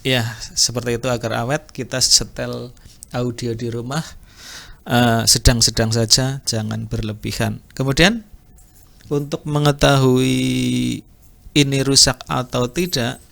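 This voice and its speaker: A man's voice: medium pitch, fairly even intonation, fairly bright tone. A man giving an informal spoken tutorial, explaining patiently and repeating himself as an instructor does. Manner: unhurried explaining with repetition and throat clearing. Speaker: audio repair instructor.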